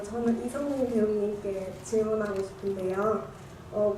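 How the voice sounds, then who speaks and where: A woman in the audience asks her question politely and hesitantly, with a fairly high voice. She sounds far from the mic in an echoey cinema hall. Background noise runs beneath her. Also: dark tone. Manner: polite, hesitant, lots of fillers. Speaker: audience member asking a question.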